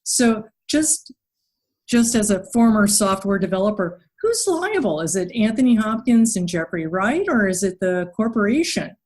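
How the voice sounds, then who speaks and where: A woman's voice: mid-range voice, animated, moderate pace, darkish tone. A woman hosting a podcast interview in a conversational style.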